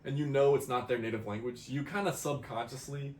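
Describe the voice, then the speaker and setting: A man's voice: mid-range voice, steady tone, brisk. Easygoing young man, roomy echo. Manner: easygoing, agreeable, laughs often. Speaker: podcast co-host. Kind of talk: casual chat. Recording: roomy echo, faint ambient noise.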